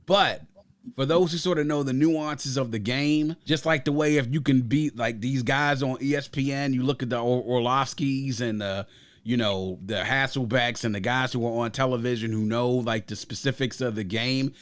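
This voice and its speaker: A man's voice: mid-range voice, steady tone, moderate pace. A man hosting a casual podcast album review, talking at length in winding sports analogies and steering the discussion. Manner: long rambling sports analogies; leads the discussion, asks others for picks. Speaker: podcast host. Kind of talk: casual music review chat.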